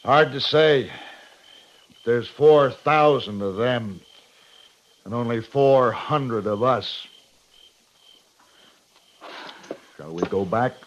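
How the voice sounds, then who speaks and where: An American actor playing a headstrong, blunt cavalry captain with clipped military formality, in a 1950s radio western. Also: male voice, mid-range voice, takes it slowly, long pauses, natural voice. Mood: formal, reserved. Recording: dull lo-fi 1950s radio recording.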